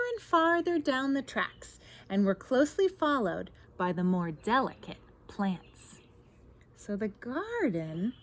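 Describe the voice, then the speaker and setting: Animated, unhurried woman reading to children. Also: fairly high pitch. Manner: addresses young listeners, asks guiding questions. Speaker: teacher reading a picture book aloud. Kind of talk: expressive children's read-aloud with asides. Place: outdoors in a backyard garden. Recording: outdoor recording, faint noise, birdsong. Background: birdsong, faint outdoor noise.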